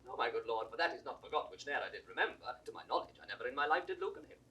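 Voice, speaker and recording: Animated young British nobleman. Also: medium pitch, rapid-fire. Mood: respectful, humble. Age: young adult. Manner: deferential, formal. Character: earnest, respectful young English nobleman, eager and raw. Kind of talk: classical Shakespearean stage acting.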